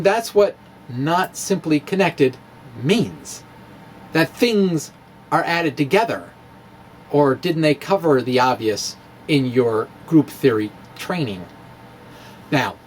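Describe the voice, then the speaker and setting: Male physics blogger, slow and animated, wry humour, slight hiss behind. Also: a little high. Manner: wry, playful, laughs at his own jokes. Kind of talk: dramatic reading of a blog lesson.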